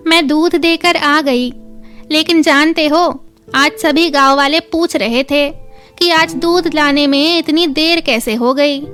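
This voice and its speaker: A woman's voice: high-pitched, slow, a bit bright, faint music behind. A woman voicing a practical, mildly nagging village milk-seller wife in a children's story, with a homely manner. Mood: chatty. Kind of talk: children's moral story dialogue.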